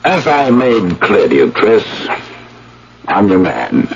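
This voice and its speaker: An actor playing a proud, jealous scientist, wounded and vengeful, with intense, indignant radio drama delivery. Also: male voice, on the low side, sing-song, takes it slowly, slight background noise, exaggerated voice. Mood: stunned, wounded. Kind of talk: old-time radio drama acting. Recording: dull vintage radio broadcast, background murmur.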